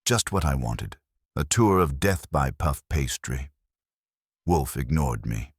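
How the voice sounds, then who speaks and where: A man's voice: a bass voice, expressive, takes it slowly, slightly rough, no room sound, studio quality. A man narrating a first-person detective story in a wry, hard-boiled way. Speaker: audiobook narrator.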